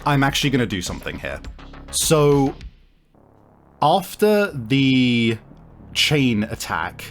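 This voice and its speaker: A man improvising narration as game master of a tabletop game, thinking aloud haltingly as he describes the action and the rules. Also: mid-range voice, sing-song, faint music behind.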